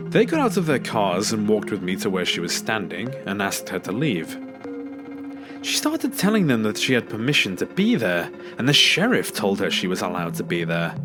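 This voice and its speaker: A man reading a scripted first-person scary story as a narrator. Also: lowish voice. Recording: background music under the voice. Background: music.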